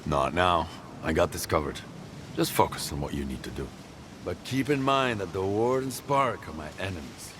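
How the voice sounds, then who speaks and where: A grim, distrustful stalker loner in a game cutscene, his voice sitting very low as he speaks in a grave, guarded way. A clear layer of ambient noise runs under the game audio. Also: lively intonation, normal speed. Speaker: video game character. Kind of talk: video game cutscene voice acting.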